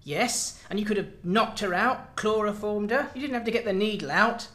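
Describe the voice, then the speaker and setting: A man's voice: high voice, normal speed, a bit bright. A man playing a sardonic, furious intelligence boss in a radio drama, biting and accusatory. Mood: menacing, accusing. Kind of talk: tense radio drama dialogue.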